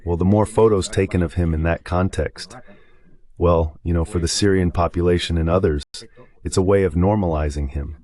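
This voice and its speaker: A man's voice: sits low, steady tone, normal speed, mellow. A man voicing a political guest's commentary in translation.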